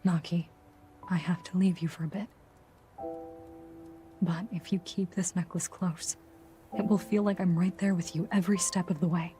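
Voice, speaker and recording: An actress playing a calm, resolute and caring female mercenary in a video game, gentle and steady. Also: on the low side, normal speed, long pauses, soft background music, close to an everyday voice. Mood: tender, reassuring. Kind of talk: dramatic video game acting.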